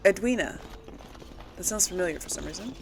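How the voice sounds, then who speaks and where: A woman giving casual let's play commentary to her audience, rambling and thinking out loud. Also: on the low side, lively intonation, fairly quick, gravelly, background noise. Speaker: host of a gaming role-play series.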